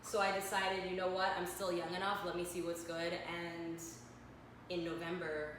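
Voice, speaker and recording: A young woman from Manhattan, co-hosting a casual chat, animated and laughing, with lots of fillers. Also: on the low side, moderate pace, heavy reverb, background noise. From Manhattan, New York. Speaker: co-host.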